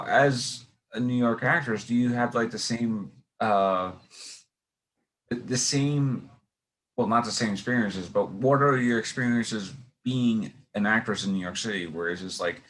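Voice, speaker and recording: Rambling Brooklyn-accented podcast host. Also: male voice, on the low side, fairly bright tone, rough recording. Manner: rambling, restarts questions. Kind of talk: casual podcast interview.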